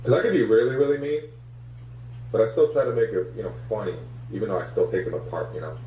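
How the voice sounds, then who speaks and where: A man with a low, mellow voice, a comedian guest talking through his stage experiences in an easy, reflective way. He is recorded from a distance in a roomy space with a faint hum underneath. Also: quick pace. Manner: reflective, self-analytical, many fillers. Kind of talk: casual interview chat. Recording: echoey room, distant mic, faint hum.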